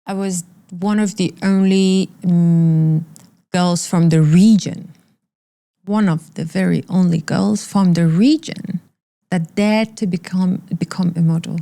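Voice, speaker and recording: A woman's voice: fairly low pitch, steady tone, slow, vocal fry, warm tone. A woman in her thirties, originally from Iran, speaking candidly and with emphatic repetition as an interview guest. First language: Persian.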